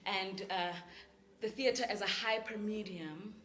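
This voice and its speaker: A woman's voice: medium pitch, steady tone, unhurried, fairly bright tone, roomy sound. A woman on a discussion panel, talking casually and laughing often while she explains. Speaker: cast member in a panel discussion.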